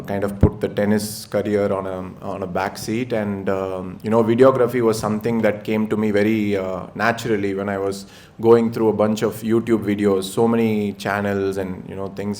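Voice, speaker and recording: A young man with a low-pitched voice introduces himself at a panel event. He talks haltingly, with many fillers. The sound carries a roomy hall echo and faint background ambience. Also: darkish tone, a little hiss or hum.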